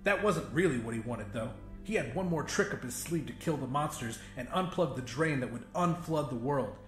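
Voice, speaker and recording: Guy reading a horror story audiobook, mid pitched voice, roomy echo, bit of music behind him. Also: animated, moderate pace. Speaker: audiobook narrator. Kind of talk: scripted dramatic fiction narration. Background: faint music.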